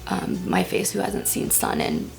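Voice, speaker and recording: A woman's voice: unhurried, a little mellow, soft background music. A woman in her early twenties chatting casually at home while doing her makeup, self-deprecating, with whispered asides. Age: 20s. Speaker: vlogger. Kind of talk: casual get-ready-with-me chat.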